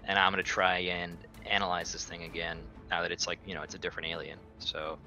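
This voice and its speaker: A man's voice: sits low, flat delivery, fast talker, over music. A man chatting casually as a player in a tabletop game, sweary and joking.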